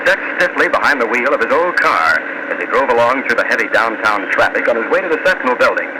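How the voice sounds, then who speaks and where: A man's voice: medium pitch, sing-song, fast, heavy background noise, natural voice. A man narrating as a 1940s radio announcer, dramatic and emphatic, on an old broadcast recording.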